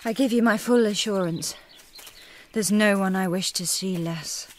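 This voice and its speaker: An actress playing a grieving young widow in a radio drama, solemn and contemplative. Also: female voice, mid-range voice, a little slow, slight background noise, close to an everyday voice. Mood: guarded.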